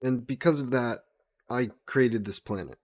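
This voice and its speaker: A man playing a soft yandere god who poses as a childhood friend, gentle, coaxing and evasive in an intimate roleplay audio drama. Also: medium pitch, a little slow, natural voice. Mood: soothing, confessional.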